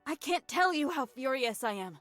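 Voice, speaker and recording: An actress playing a steadfast, fierce young female soldier in a video game drama, with a firm, deliberate delivery. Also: high voice, sing-song, fairly bright tone, no echo, soft background music. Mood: wounded, defiant.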